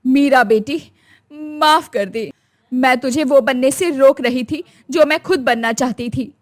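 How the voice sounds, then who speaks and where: Woman playing a stern, high-voiced older mother-in-law. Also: unhurried. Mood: remorseful. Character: stern traditional older mother-in-law, scolding yet tender.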